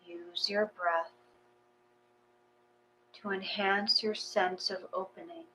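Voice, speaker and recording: Slow, gentle woman leading meditation. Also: medium pitch, fairly even intonation, slight background noise, low-quality recording. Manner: gentle, unhurried, soothing phrasing with pauses. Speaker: guided meditation leader. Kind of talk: read guided meditation.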